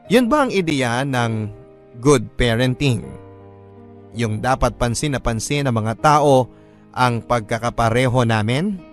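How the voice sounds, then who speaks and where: A man's voice: mid-range voice, natural voice. Wounded, resentful son narrating , acted by a man. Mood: bitter, questioning. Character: resentful, wounded son confiding his story to radio host. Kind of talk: first-person dramatic letter narration in Tagalog radio drama. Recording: faint music behind the voice.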